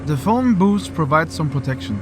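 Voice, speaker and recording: A man's voice: on the high side, big pitch swings, moderate pace, background noise. A man playing a solitary first-person narrator in an experimental radio play, with music behind him. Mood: somber, detached.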